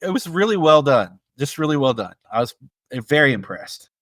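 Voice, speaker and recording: A man co-hosting a casual film discussion, speaking in a measured, appreciative and somewhat halting way. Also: fairly high pitch, big pitch swings, a bit bright, no room sound. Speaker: podcast co-host.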